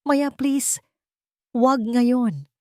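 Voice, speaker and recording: A woman's voice: on the high side, no room sound, studio quality, pitched above the performer's own voice. Woman voicing a desperate, pleading man. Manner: weak, pleading, halting. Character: desperate, guilt-ridden young man pleading with his ex.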